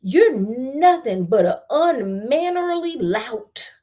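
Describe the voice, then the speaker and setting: A woman with an American accent voicing an imperious, quick-tempered king in a storybook, with emphatic declarations. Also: sits high, sing-song, warm tone, exaggerated voice. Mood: angry.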